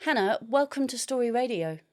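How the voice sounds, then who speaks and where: A woman's voice: on the high side, animated, a little fast. A woman hosting a literary radio interview, introducing the guest and asking questions.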